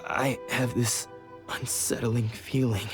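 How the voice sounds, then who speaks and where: A man's voice: mid-range voice, steady tone, slow pace, close to an everyday voice. A man playing a hard-up, put-upon samurai soldier, weary and defensive, in a video game. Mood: troubled, defensive. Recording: music under the voice.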